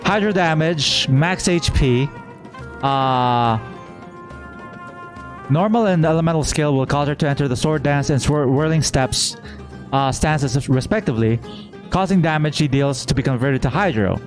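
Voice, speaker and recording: A man doing a casual live gameplay reaction as a streamer, informally thinking out loud. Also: on the high side, measured pace. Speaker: game streamer. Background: faint game music.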